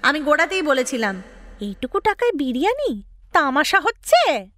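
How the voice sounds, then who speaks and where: An actress playing a chatty, exasperated young woman who narrates her love life in an audio drama, animated and playfully complaining. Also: high voice, normal speed. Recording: long reverb, music behind.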